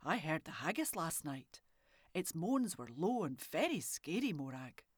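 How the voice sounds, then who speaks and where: A woman's voice: sits low, sing-song, measured pace, slightly rough, dry recording, studio quality, full character voice. A woman with a Scottish accent voicing a busy mother who speaks firmly but lovingly to her daughter. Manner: firm, scolding warmth.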